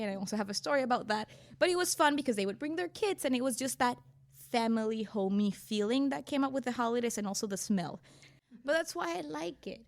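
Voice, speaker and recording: A woman from Venezuela, a podcast co-host, telling stories in a rambling, animated group chat. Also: a little high. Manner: animated, rambling storytelling with restarts.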